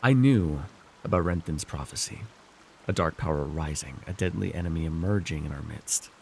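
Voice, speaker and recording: A man voicing a scheming, weary villain lord in an immersive fantasy ASMR roleplay, wry and persuasive, speaking theatrically with halting, musing pauses and sighs. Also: rumbling low, vocal fry, a little mellow, a little hiss or hum. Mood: wry, confiding. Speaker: voice actor.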